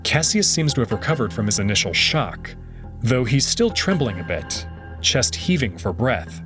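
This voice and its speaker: Man, visual novel narrator, animated delivery, unhurried, background music. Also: mid-range voice.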